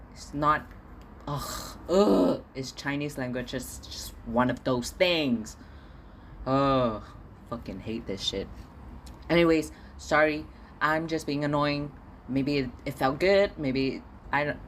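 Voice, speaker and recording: A man's voice: on the high side, fairly bright tone, slight background noise. A young man from Singapore, talking alone in a casual podcast diary at home, ranting and swearing with stammered repeats. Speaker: podcast host.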